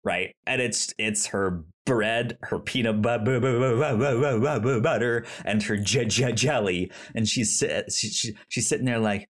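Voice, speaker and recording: A man's voice: on the low side, normal speed, few pauses. A man with a pronounced stutter co-hosting a film podcast, chatting casually in an enthusiastic, rambling way.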